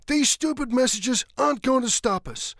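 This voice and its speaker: Woman doing a creaky, high-voiced teenage boy. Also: normal speed, a bit bright, dry recording, clean studio sound, heavily acted. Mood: defiant. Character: excitable, defiant teenage schoolboy. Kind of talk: dramatised story for language learners.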